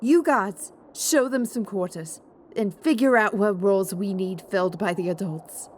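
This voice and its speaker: A woman playing a gruff, curt mercenary pony mare who gives orders in an audio drama. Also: medium pitch, a little slow, slight background noise, close to an everyday voice. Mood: commanding, brisk. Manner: commanding, curt.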